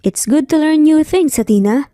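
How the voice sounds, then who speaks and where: A woman's voice: high voice, animated, normal speed, warm tone, no echo, studio quality, voice pushed up. A woman voicing a proud, jealous male engineer in an audio romance, terse and grumpy, with a Filipino accent. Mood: curt.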